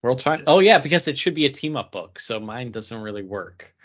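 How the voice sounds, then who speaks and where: A man's voice: medium pitch, animated, fairly quick, fairly bright tone, muffled recording. A man co-hosting a podcast in loose, casual conversation with the panel. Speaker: comics podcast co-host.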